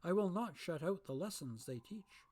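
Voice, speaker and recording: A reader voicing a remorseful old miser who turns giddy, pleading and exclaiming at a lifted pitch. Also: lively intonation, normal speed, darkish tone, close to an everyday voice. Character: old miser, repentant and overjoyed.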